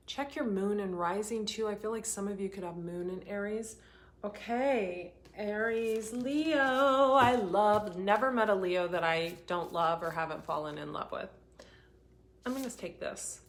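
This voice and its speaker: A woman hosting a live oracle card reading, talking in an earnest, rambling way, her voice getting hoarse. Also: on the low side. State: voice going hoarse. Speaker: intuitive reader hosting a livestream.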